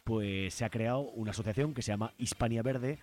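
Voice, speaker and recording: A man with an accent from Spain hosting a radio show, giving informal commentary and announcements with frequent hesitant pauses. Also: mid-range voice, darkish tone.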